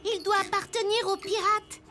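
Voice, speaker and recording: A woman's voice: extremely high pitch, flat delivery, normal speed, music in the background. A woman playing an earnest, cheerful little wooden boy detective in a children's cartoon. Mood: excited. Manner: earnest, eager. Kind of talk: animated children's cartoon dialogue.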